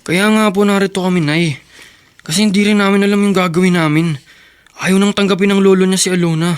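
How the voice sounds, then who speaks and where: A man plays a teenage boy in a radio drama, his voice a little high and recorded very dry. He is a young lover out of his depth, speaking hesitantly and pleading for understanding. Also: fairly even intonation, fast talker, clear, resonant tone, no room sound, lightly acted. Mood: respectful, pleading.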